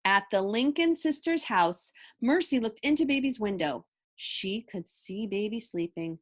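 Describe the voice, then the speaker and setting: A woman reading a children's story aloud with expressive narration. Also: mid-range voice, measured pace.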